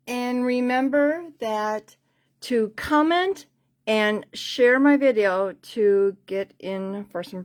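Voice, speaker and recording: A woman hosting a live card-making class in a casual, chatty, rambling way, repeating herself, her voice slightly worn as if she is losing it. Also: fairly high pitch. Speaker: host of a card-making craft class livestream. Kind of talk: casual live tutorial chat with sales pitch.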